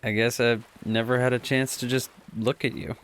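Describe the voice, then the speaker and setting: A man's voice: mid-range voice, level tone, moderate pace, a little hiss or hum, lightly acted. A young man playing an earnest, bookish, smitten teenage boy in an audio drama, playfully pedantic and slightly hesitant. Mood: shy, smitten.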